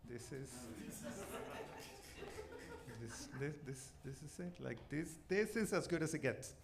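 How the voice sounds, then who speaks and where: A forty-year-old man with a Venezuelan accent and native Spanish tells stand-up stories conversationally, haltingly repeating his words. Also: on the high side, lively intonation, vocal fry, crowd noise. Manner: halting, repeats words, punctuated with "you know" and "like". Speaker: stand-up comedian. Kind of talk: stand-up comedy, conversational storytelling. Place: comedy club stage, live performance. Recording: noisy live club recording.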